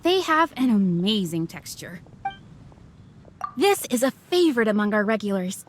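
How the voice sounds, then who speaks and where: An actress playing a polite young restaurant waitress in an anime fantasy game, with a courteous manner. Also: female voice, medium pitch, very animated, normal speed, slight background noise, natural voice. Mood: polite, eager. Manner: courteous, service-minded. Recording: faint game music behind.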